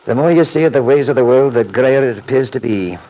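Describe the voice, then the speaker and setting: An actor playing a plainspoken, opinionated middle-aged Scottish country lawyer in an old-time radio drama, folksy and firm. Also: male voice, medium pitch, a little fast, dark tone, natural voice. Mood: musing. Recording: noisy vintage radio recording.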